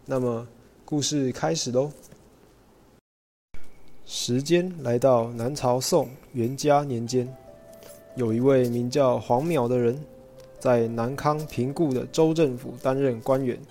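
A young man narrates a radio drama from a script. His voice has a medium male pitch, and music plays underneath it. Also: fairly even intonation, slow, pauses often, dark tone.

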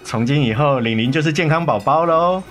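一位男演员在广播剧中饰演温和、宠爱女儿的爸爸。. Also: male voice, fairly high pitch, a little fast, fairly bright tone, soft background music, lightly acted. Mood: cheerful, soothing. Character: gentle, indulgent father of two girls. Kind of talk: radio drama acting.

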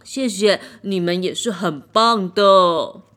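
A woman's voice: mid-range voice, slow. A woman voicing a modest, good-natured male drum in a children's storybook. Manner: modest and warm. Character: humble friendly male drum.

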